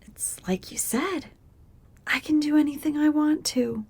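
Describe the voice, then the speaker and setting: A woman's voice: sits high, unhurried. A woman playing a naive, eager female house cat in an audio drama, earnest and starry-eyed in manner. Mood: earnest, hurt.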